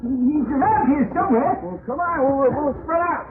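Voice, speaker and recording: An actor playing a bumbling, dim, excitable gangster henchman in an old radio drama, with a squawky, flustered comic delivery. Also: very high-pitched, normal speed. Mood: urgent. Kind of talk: 1940s-50s radio drama acting. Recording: tinny, noisy old radio recording.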